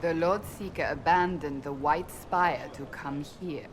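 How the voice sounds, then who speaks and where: An actress playing a firm warrior adviser in a video game, speaking gravely and formally. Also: female voice, sits low, a little slow, slight background noise. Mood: serious. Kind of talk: video game dialogue acting.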